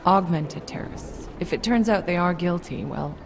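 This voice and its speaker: An actress playing a calm, probing doctor who questions a patient in a game cutscene. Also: female voice, fairly low pitch, moderate pace, close to an everyday voice. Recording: game cutscene with loud music.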